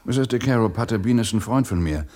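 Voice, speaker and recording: A man playing a gruff, impatient police detective in a German radio drama. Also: lowish voice, animated, brisk, a little mellow. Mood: insistent. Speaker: actor.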